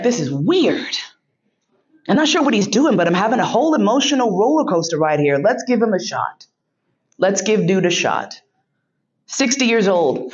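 A woman's voice: mid-range voice, highs cut off. Frank, laughing woman telling a story. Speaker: podcast host giving a keynote speech. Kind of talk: storytelling speech.

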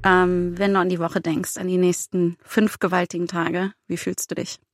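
A woman's voice: fairly low pitch, level tone, moderate pace, no room sound, studio quality. A woman hosting a podcast, asking questions in a casual conversational style. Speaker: podcast host, comedian. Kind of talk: casual interviewing.

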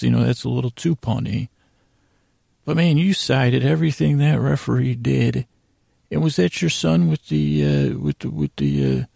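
A man with a deep-leaning, heavily creaky voice delivers a bedtime monologue. His tone is soft-edged and dark, and he wanders haltingly from one half-finished sentence to the next, as the host of a sleep podcast. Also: dry recording, clean studio sound. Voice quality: gravelly, creaky. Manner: meandering, halting, trailing off mid-sentence.

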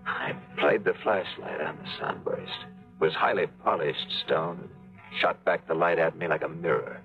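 A deep hard-boiled adventurer. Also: male voice, normal speed, gravelly, faint music behind. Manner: terse, clipped hard-boiled delivery. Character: hard-boiled male adventurer narrating his hunt. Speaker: narrator and lead. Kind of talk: 1950s radio drama narration. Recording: dull old radio broadcast recording.

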